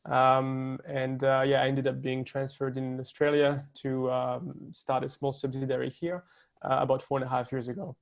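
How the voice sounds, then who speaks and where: A man's voice: medium pitch, level tone, moderate pace, creaky. A man with a French accent, a co-founder guest speaking hesitantly with many fillers. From France. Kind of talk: casual interview talk.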